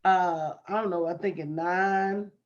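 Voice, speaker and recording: A woman's voice: lowish voice, steady tone, a little slow. A woman co-host giving ticket details in a practical, casual way. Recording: dull lo-fi stream audio.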